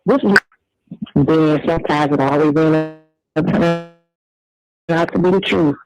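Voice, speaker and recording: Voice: mid-range voice, a little slow, lo-fi sound, music in the background. A man talking casually in a phone conversation.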